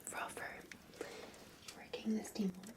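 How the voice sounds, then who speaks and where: A Scottish woman whispering softly and breathily in a gentle, soothing, unhurried ASMR roleplay as a café barista.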